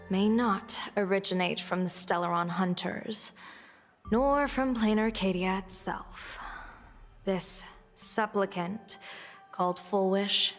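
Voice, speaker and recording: An actress voicing a composed, wry female general in a video game, with a measured, dry delivery. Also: mid-range voice, lightly acted. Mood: grave, composed. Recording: long reverb, music underneath.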